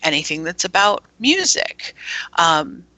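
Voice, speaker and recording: Low-voiced woman rambling about her radio station, bright tone, dry room. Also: big pitch swings, a little slow, dry recording, rough recording. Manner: chatty, explains at length. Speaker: internet radio station owner, guest. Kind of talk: casual chat.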